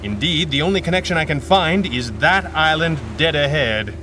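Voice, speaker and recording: An actor playing a seasoned masked hero and devoted husband, measured, articulate and dryly understated, in a 1930s pulp radio drama style. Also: male voice, a little high, animated, noisy background, natural voice. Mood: matter-of-fact, intrigued. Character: seasoned masked male adventurer, calm, dry-witted, devoted husband.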